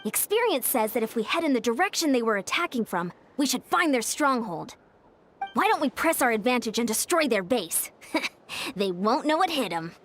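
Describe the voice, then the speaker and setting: Eager high-voiced young warrior. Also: female voice, sing-song, normal speed, fairly bright tone, a little hiss or hum. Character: eager, practical young female warrior ally. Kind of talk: video game character acting. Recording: music under the dialogue.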